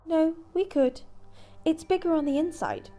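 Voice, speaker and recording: A time traveler's candid young-sounding companion, acted by a woman in a sci-fi audio drama. Her voice is high and mellow with a creaky edge, and she talks matter-of-factly. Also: big pitch swings, measured pace, soft background music, heavily acted. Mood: reassuring.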